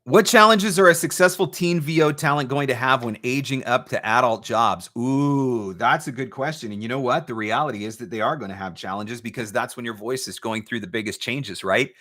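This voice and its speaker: A man's voice: mid-range voice, a little fast, hardly any pauses, sharp tone. A man hosting a live question-and-answer advice talk, speaking emphatically like a coach and posing rhetorical questions. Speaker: host, voice-over marketing coach.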